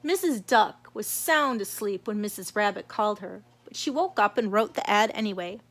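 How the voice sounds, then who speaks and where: A woman's voice: medium pitch, expressive, moderate pace, a bit bright. A woman narrating a children's storybook as a read-aloud. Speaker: storybook reader.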